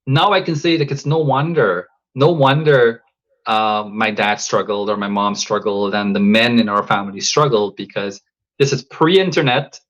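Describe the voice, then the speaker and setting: A man from Guyana, a coach and father, talking reflectively in a podcast interview, measured and thoughtful with many fillers and restarts. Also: medium pitch, fairly bright tone, highs cut off.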